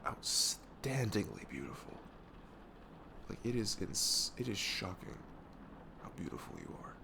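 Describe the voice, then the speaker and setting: A young man acting out a protective, tender best friend in an ASMR roleplay, with a low voice and some fry at the edges. He speaks haltingly and earnestly, close and soothing, with pitch that moves around a lot. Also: big pitch swings, background noise, full character voice. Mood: tender, reassuring. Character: caring, protective young male best friend comforting someone. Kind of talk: ASMR audio roleplay, intimate casual chat.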